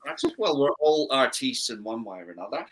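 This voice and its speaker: A man's voice: medium pitch, sing-song, fairly quick, a bit bright, breathy edge. A man with an Australian accent with a hint of Scottish, hosting an easygoing, chatty stream. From Scotland. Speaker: host. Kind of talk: casual chat.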